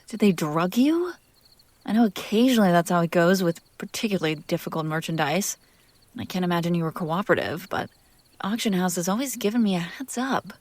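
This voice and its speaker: A woman playing a possessive, teasing demon in an intimate scripted audio roleplay, speaking theatrically with drawn-out phrases that drop toward whispers. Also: medium pitch, darkish tone, no room sound, natural voice. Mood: annoyed, suspicious.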